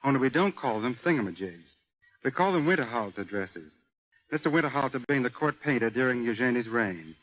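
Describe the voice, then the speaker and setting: Measured man as a radio guest. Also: medium pitch, animated. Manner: measured, matter-of-fact, reads from script. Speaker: guest expert. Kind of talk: scripted radio interview. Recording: dull old radio broadcast recording.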